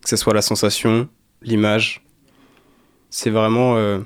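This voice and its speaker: A young man with a fairly low voice speaks as a guest in a casual arts interview. He is reflective and deliberate, building his thoughts in short descriptive phrases. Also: level tone, smooth. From Saint-Malo, France. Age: young adult. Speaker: guest, dancer.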